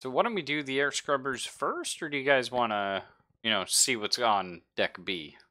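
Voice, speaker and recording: A man's voice: medium pitch, lively intonation, moderate pace, bright, forceful tone. A man running a tabletop roleplaying game as game master, narrating casually with a jokey, sweary manner.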